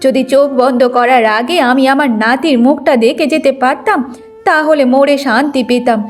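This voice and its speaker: A woman's voice: high-pitched, steady tone, normal speed, faint music behind, lightly acted. A woman voicing a bitter, domineering old village mother-in-law in a cartoon moral story. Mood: coaxing, scheming. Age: older.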